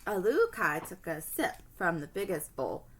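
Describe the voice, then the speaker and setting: A woman teacher reading a storybook aloud to children in an expressive storytelling manner. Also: on the low side, measured pace, slight background noise.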